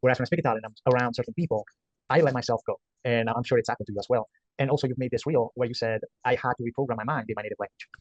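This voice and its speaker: A man's voice: mid-range voice, rapid-fire, a little mellow, no echo, highs cut off. A polite man asking the host a question in a workshop, thanking others first. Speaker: workshop participant.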